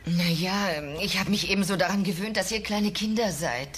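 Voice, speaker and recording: A woman's voice: medium pitch, normal speed, a little hiss or hum, lightly acted. A woman playing an exasperated, strict but well-meaning middle-aged mother in a cartoon radio play. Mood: pleading.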